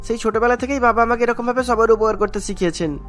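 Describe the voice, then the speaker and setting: Woman acting devout young husband, cartoon dialogue, highish voice, quick delivery, earnest, reassuring. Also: soft background music, lightly acted. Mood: awed, eager.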